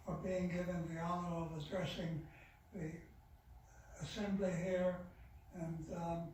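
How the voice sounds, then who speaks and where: Very old man, slow, halting, distant. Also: darkish tone, a little hiss or hum. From Austria. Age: 90s. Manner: slow, halting, with many restarts and pauses. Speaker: Holocaust survivor speaker. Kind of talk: personal testimony talk. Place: synagogue sanctuary. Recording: low-level, distant, very roomy recording.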